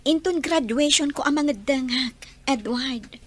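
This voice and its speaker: Serious young woman student, gentle manner, high voice, radio romance drama. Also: unhurried. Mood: reassuring, sincere. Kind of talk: Philippine radio drama acting.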